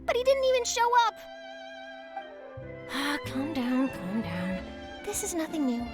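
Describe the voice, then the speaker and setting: A woman's voice: high voice, huge pitch swings, unhurried, heavily acted. A woman playing an eager, theatrical young female newspaper journalist in an anime-style video game, with a perky, gushing delivery. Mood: frustrated, self-soothing. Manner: perky, theatrical, gushing, dramatic sighs and exclamations. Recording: game music behind the voice.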